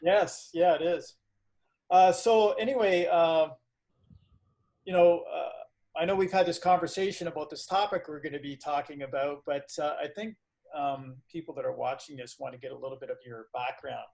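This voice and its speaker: A man who teaches karate, hosting a casual video-call interview in a relaxed, chatty way with lots of fillers. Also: on the high side, normal speed, gravelly.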